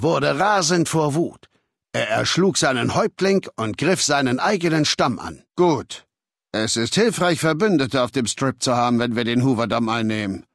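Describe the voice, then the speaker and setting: An actor playing a cold, arrogant warlord dictator in a video game, speaking with condescending, menacing authority. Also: male voice, mid-range voice, no room sound. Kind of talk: video game dialogue acting. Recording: dry studio recording.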